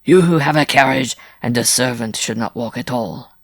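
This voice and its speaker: A man's voice: on the high side, fairly quick, no echo, voice pushed up. A man voicing a brash, scornful male circus rider in an audiobook, with a firm, blustering delivery. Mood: indignant. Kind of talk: audiobook character acting.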